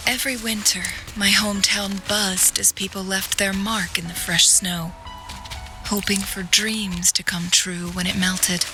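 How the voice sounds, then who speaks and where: A graceful, composed young woman played by an actress in a video game. She speaks softly and reflectively, with a wistful gentleness and a medium pitch. Music plays clearly behind her. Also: flat delivery, measured pace, close to an everyday voice, rough recording. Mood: gentle, reflective. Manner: composed, soft-spoken, wistful.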